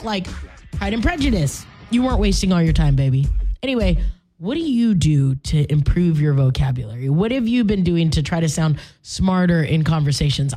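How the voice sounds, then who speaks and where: Woman, native Spanish speaker, chatty morning radio host, medium pitch, playful slangy asides, music bed behind. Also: a little mellow.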